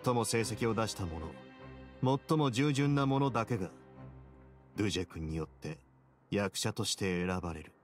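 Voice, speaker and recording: Man playing a low-voiced, composed game duke. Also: music in the background, lightly acted. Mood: calm, analytical. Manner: cool, measured, authoritative. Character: composed, shrewd male duke in command of a fortress. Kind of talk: Japanese game voice acting.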